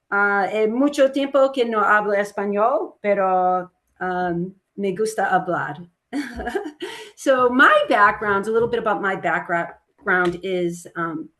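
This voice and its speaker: A woman's voice: medium pitch, a bit bright. A woman whose first language is Italian, giving a chatty, upbeat webinar presentation on a video call. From United States. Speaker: webinar presenter, trade expert. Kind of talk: casual presentation.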